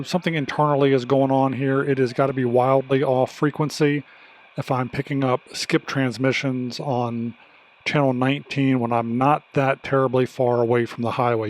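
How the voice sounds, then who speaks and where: A man with a mid-pitched voice narrates a hands-on gear demonstration. His delivery is casual and plainspoken, with folksy turns of phrase, like a hobbyist host walking viewers through a piece of equipment. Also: level tone, normal speed, darkish tone, poor audio.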